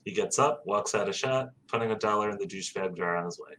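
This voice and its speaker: A man's voice: on the low side, quick pace, a bit bright, a little hiss or hum. A man hosting a podcast table read, switching between casual chat and narrating stage directions.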